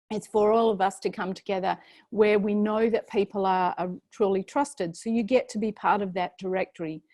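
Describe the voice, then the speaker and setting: A woman with an Australian accent presenting a paid program in a chatty, filler-heavy way, her voice going slightly hoarse. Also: medium pitch, dark tone, no echo. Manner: chatty, many fillers and restarts. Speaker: program host presenting an offer. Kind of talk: webinar sales pitch.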